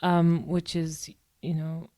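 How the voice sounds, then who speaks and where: A woman's voice: low-pitched, completely flat delivery, slow pace, mellow. A woman co-hosting a discussion podcast, talking in long, thoughtful stretches full of "um" and "you know". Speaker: podcast co-host.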